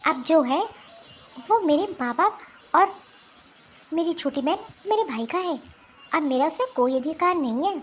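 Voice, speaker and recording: A woman's voice: high voice, lively intonation, a little slow, pauses often, a little hiss or hum. A woman voicing a wronged young wife in a cartoon family drama, protesting and explaining as she defends herself.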